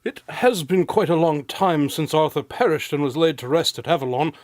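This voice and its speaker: A man's voice: on the high side, lively intonation, normal speed, a little mellow, no room sound, lightly acted. A man playing a courteous, self-assured detective with dry wit and formal, gallant phrasing in a radio drama. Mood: composed, courteous.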